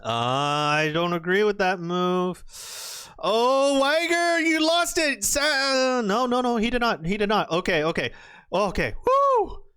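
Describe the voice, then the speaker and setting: Excitable, slightly hoarse male go commentator. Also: high-pitched, measured pace. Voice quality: excitable, exclamatory, trails off and restarts. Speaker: go game commentator. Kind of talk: excitable live game commentary.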